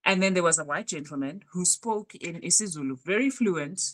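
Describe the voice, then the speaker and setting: A woman in her thirties with a South African accent, co-hosting a casual podcast chat and telling stories with animated emphasis. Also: lowish voice, brisk. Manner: animated storytelling with isiZulu words mixed in. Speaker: podcast co-host.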